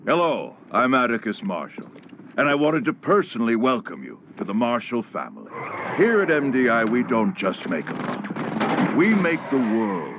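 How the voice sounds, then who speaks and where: An actor playing a slick arms-company boss giving a showy salesman's pitch in a corporate orientation video game cutscene. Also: wildly swooping pitch, moderate pace, background noise.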